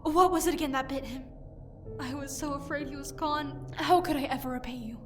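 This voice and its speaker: Lady playing anxious doting queen mum, high voice, gushing, music behind her, audio drama. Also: fairly quick, close to an everyday voice. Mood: grateful, fretful. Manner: gushing, fretful. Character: anxious, effusive, doting royal mother.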